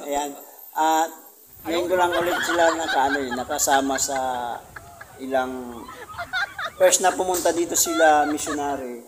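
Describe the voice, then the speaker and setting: A man with a Filipino accent and Tagalog as first language, chatting casually as a vlogger, jovial and halting, often groping for English words. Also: fairly high pitch. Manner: jovial, giggly, self-deprecating. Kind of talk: casual vlog chat, interviewing visitors. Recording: clearly noisy amateur vlog recording.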